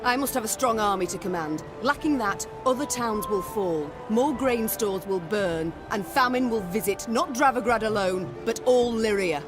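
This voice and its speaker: A woman acts out a proud warrior queen from a fantasy game. She speaks with regal, measured authority at a middle pitch. Music runs clearly behind her. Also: animated, natural voice. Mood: firm, grave. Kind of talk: dramatic scripted game dialogue. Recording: music under the voice.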